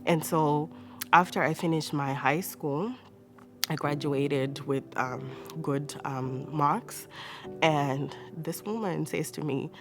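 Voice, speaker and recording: A woman's voice: deep voice, slow, over music. A young woman with a Zimbabwean accent giving a personal testimony in a hesitant, reflective, conversational way. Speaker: interview guest. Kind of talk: personal testimony, casual storytelling.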